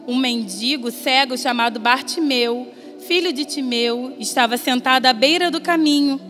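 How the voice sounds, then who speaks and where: A woman preacher with a Brazilian Portuguese accent, reading scripture and giving an earnest, measured sermon that rises in emphasis. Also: high-pitched. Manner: earnest, measured, rising emphasis on quoted voices. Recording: long reverb, soft music behind.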